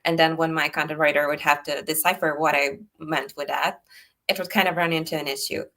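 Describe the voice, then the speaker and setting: A young woman from Serbia, a startup founder, chatting in a relaxed way as a guest on a video call interview. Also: deep voice, fairly quick. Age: young adult. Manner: relaxed, chatty, frequent "like" fillers. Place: indoor room, bright wall behind.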